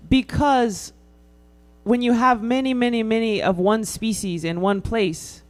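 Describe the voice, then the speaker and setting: A woman answering a panel question in a hesitant, quiet way, with many pauses and ums. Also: fairly high pitch, steady tone, soft background music.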